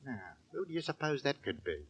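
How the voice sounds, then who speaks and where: An actor playing a gentle, hopeful working-class husband, colloquial and hesitant, in 1940s radio drama style. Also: male voice, a little high, very animated, fast, husky, no room sound, dull audio, exaggerated voice. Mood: curious, cautious. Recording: vintage 1940s radio broadcast recording.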